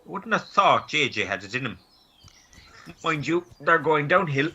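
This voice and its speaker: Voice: mid-range voice, very animated, unhurried, bright, forceful tone, slightly rough, lo-fi sound, heavily acted. An actor playing a curious, easygoing Irish man who gives bemused commentary in a comic radio play.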